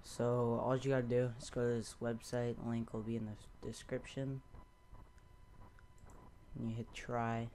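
A young man giving a casual, offhand software tutorial, unscripted, into a computer microphone. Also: medium pitch, little pitch movement, a little slow, long pauses. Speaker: tutorial host. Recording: noisy amateur home recording.

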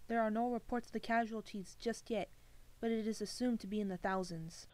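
A woman's voice: fairly high pitch, fairly even intonation, brisk, a little mellow, natural voice, poor audio. A woman playing a serious local radio newsreader, delivering a breaking news report.

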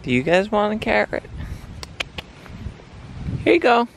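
A man's voice: high voice, wildly swooping pitch, measured pace, vocal fry, a little hiss or hum. A young man casually vlogging outdoors on a handheld camera, chatting playfully to animals as he walks. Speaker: sailing vlogger. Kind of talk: casual travel vlog. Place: outdoors on an island farm and beach. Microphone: handheld action camera.

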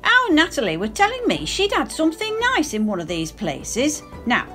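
An actress as a very animated Northern English wife. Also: female voice, a little high, normal speed, soft background music, heavily acted. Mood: chatty. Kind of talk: radio play acting.

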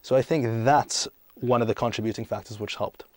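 A man's voice: medium pitch, steady tone, fairly quick, darkish tone, no echo. Emphatic young man explaining, dry close sound. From South Africa and Greece. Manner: emphatic, explanatory, poses and answers own questions. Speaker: startup CEO, podcast guest. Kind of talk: conversational interview answers.

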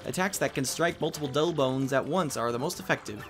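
A man's voice: medium pitch, a little fast, a bit bright, lightly acted. A man reading as a perky young student girl. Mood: informative. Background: game music.

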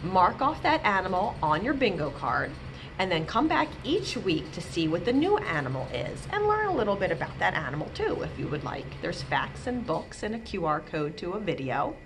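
A woman's voice: on the low side, sing-song, moderate pace, noisy background. A middle-aged woman hosting a library storytime for young children, speaking expressively and drawing out key words for emphasis. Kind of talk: read-aloud for young children. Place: small indoor library room.

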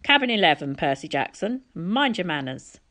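A woman's voice: on the low side, sing-song, normal speed, a bit bright. A woman voicing a petulant, pompous, middle-aged male wine god in a storybook reading, whiny and theatrical. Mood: bossy. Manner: pouting, theatrical, sardonic.

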